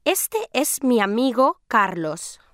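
A woman's voice: fairly high pitch, big pitch swings, slow, a bit bright, no echo, clean studio sound, full character voice. A woman playing a friendly young woman in a scripted language-lesson dialogue, speaking carefully. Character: friendly young woman from Madrid, aged nineteen.

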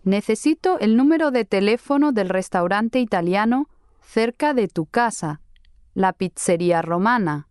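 An actress playing a friendly young woman in a slow, scripted dialogue for language learners. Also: medium pitch, animated, darkish tone, dry recording, clean studio sound, natural voice. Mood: careful. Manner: clear, careful friendly delivery.

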